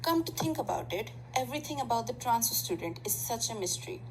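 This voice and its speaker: A woman's voice: on the high side, lively intonation, quick pace, slight background noise, close to an everyday voice, rough recording. A woman voicing a mild, slightly awkward teenage boy idol in an amateur anime fandub, chatting in a casual, musing way. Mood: curious, musing.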